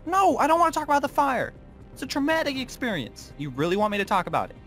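A man's voice: very high voice, big pitch swings, fast, fairly bright tone, faint music behind, heavily acted. A man playing a laid-back but touchy young hellhound student, speaking in a slangy, offhand way in a game roleplay. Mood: annoyed, defensive. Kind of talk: casual scripted Minecraft roleplay.

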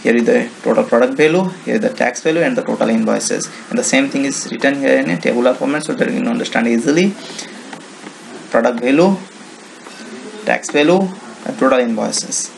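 A man with an Indian accent giving an informal software walkthrough, explaining step by step in simple, repetitive phrases. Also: medium pitch, fairly quick, gravelly, slight background noise, poor audio. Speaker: software tutorial presenter. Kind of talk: informal screen-recording walkthrough.

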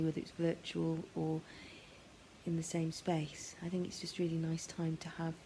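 Female, middle-aged, low mellow voice, casual to-camera appeal, audible background noise. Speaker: guest story reader introducing a charity appeal. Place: living room at home. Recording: noisy home webcam-style recording.

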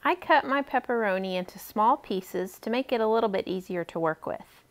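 Woman, thirties, fairly high voice, kids' cooking host, encouraging, step-by-step demonstration, simple phrasing. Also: animated, moderate pace. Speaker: library cooking program host for kids.

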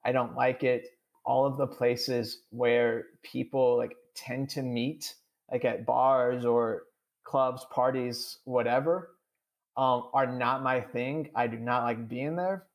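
A man's voice: mid-range voice, fairly even intonation. Young man who stutters heavily. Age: young adult. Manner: stutters, with many repeated sounds and drawn-out "uh"s. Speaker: podcast guest. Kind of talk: candid interview answers.

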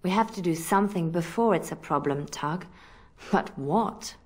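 A woman's voice: on the low side, moderate pace, darkish tone, breathy edge. A woman playing an earnest, principled young female spy in a video game, with a hesitant, pleading manner. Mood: worried.

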